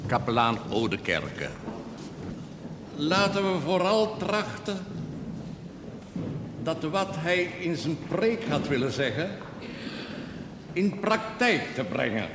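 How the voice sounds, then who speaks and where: An actor playing a solemn, admonishing parish priest who preaches to his congregation in measured tones in a period drama. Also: fairly high pitch. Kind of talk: sermon in a television period drama. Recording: echoey church hall, long reverb, faint noise.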